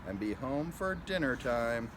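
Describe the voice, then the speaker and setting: Man plays cautioning storybook mother dog, gentle and caring, mid pitch, background noise. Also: big pitch swings, measured pace.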